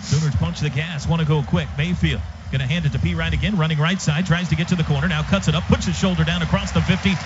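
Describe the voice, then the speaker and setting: High-pitched American football play-by-play man. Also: steady tone, fast talker, few pauses, over music. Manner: clipped, punchy phrases. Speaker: radio play-by-play announcer. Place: stadium radio booth. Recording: dull, noisy live radio broadcast.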